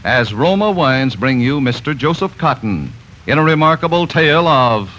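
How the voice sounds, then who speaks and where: A man announcing a sponsor's message in a smooth, persuasive style. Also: medium pitch, expressive, moderate pace, fairly bright tone. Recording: muffled 1940s radio broadcast.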